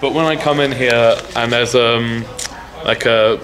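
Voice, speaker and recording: A man's voice: mid-range voice, steady tone, measured pace, music in the background, poor audio. A young man working at a feed store, explaining stock in a plain, hesitant way full of fillers. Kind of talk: casual explaining. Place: large indoor warehouse with shelving. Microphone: camera mic held by another person.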